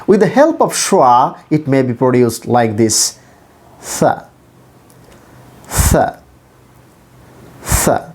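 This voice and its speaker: A man teaching English pronunciation in a deliberate, repetitive instructional way, sounding out individual sounds. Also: mid-range voice, very animated, a little mellow. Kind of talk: instructional pronunciation lesson to camera or screen.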